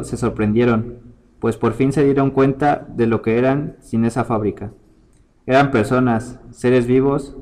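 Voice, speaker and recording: A man's voice: mid-range voice, darkish tone. Man narrating a short story.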